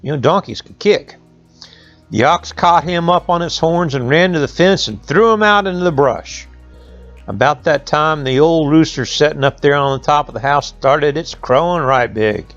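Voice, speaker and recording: A man's voice: fairly high pitch, animated, moderate pace, a bit bright, dull audio, faint music behind. A man telling a folk tale in a folksy oral style, with rustic phrasing and conversational asides. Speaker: storyteller.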